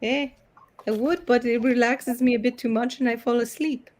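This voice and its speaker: A woman hosts a loose, joking conversation, her voice sitting a little high. She teases her guests and rides each joke along playfully. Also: steady tone, normal speed, a little mellow. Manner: playful, teasing banter. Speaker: host. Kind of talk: casual chat.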